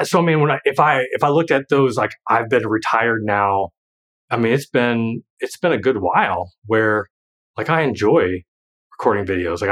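Man, thirties, lowish voice, rambling, casual podcast chat. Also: fairly bright tone. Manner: rambling, lots of "like" and restarts, laughs often. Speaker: guest, former financial planner and creator.

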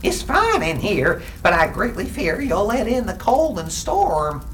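A man's voice: high voice, big pitch swings, unhurried, fairly bright tone, recorded from a distance, slight background noise, pitched above the performer's own voice, heavily acted. A man voicing a cheerful, polite frozen corpse that is cozy inside a furnace, in a dramatic horror poem. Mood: cozy delight.

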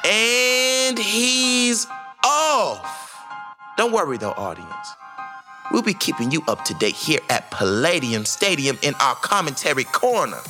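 A man playing a formal, theatrical mentor and ceremony commentator in a sci-fi audio drama, with a grand, measured announcer manner. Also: high-pitched, very animated, music in the background, exaggerated voice. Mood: showy, reassuring.

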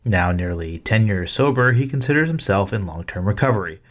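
A man's voice: lowish voice, expressive, brisk. A man hosting a podcast, introducing and interviewing a guest. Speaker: podcast host.

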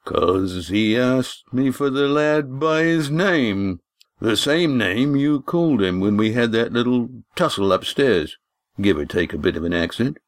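A man doing a slow, drawling innkeeper. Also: mid-range voice, expressive, no room sound, clean studio sound, pitched above the performer's own voice, close to an everyday voice. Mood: wry. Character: short, wry rustic male innkeeper, middle-aged.

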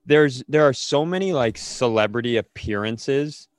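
A man co-hosting a comedy podcast, telling casual funny stories in an animated, sweary way and acting out the dialogue. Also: medium pitch, unhurried, dry recording, clean studio sound.

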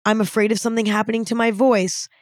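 Raspy young woman, dry close sound. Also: a little high, flat delivery, quick pace, no room sound. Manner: frank, self-deprecating, casual swearing. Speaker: podcast host. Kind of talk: candid solo podcast talk.

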